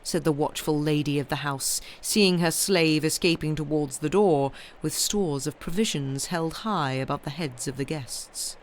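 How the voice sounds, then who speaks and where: A woman reading literary fiction aloud as an expressive audiobook narrator. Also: deep voice, measured pace, hardly any pauses, a little hiss or hum. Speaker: audiobook reader.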